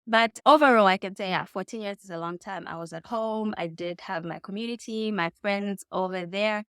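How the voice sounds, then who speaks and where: A woman in her thirties with a Zambian accent speaks as an interview guest. Her tone is smooth and clear, captured very dry. She answers casually and reflectively, picking her words with care. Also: medium pitch, moderate pace, fairly bright tone, no room sound. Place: indoors in a home.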